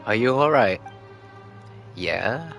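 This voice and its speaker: A man's voice: mid-range voice, animated, slow pace, gravelly, fairly bright tone. A man livestreaming a video game, giving commentary and reading game dialogue aloud over game music.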